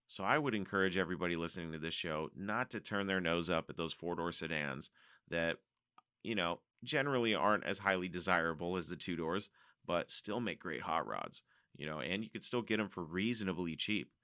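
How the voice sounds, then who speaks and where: A man's voice: deep voice, expressive, brisk, pushed and bright, smooth, no echo, studio quality. A man hosting a car podcast, reading out listener letters and chatting about them in a casual, laughing way. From North America. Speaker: podcast host.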